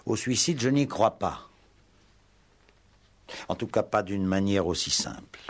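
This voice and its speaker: Calm, probing radio-drama inspector; fairly low voice, deliberate delivery, never lets go. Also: male voice. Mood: stern, pressing. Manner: measured, deliberate, pressing questioner. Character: calm, probing male police inspector, quietly relentless. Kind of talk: 1960s French radio play acting.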